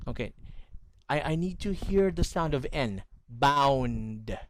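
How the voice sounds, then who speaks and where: A man's voice: mid-range voice, expressive, takes it slowly, dry recording. A man teaching English in an online lesson, correcting pronunciation and praising his student often. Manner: encouraging, corrective, repeats praise.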